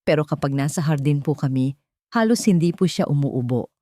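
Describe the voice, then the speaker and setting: Woman voicing a timid, mellow young Filipino nanny. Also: low-pitched, lively intonation, brisk, no echo. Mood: earnest, worried. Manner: hesitant, respectful. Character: timid, earnest young nanny, deferential but observant.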